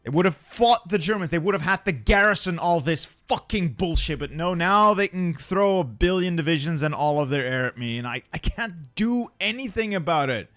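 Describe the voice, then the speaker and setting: A man's voice: on the high side, lively intonation, normal speed, few pauses, fairly bright tone, no echo, faint music behind. A young Dutch man narrating his strategy game play, muttering and swearing in frustration as things go wrong. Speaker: gaming YouTuber.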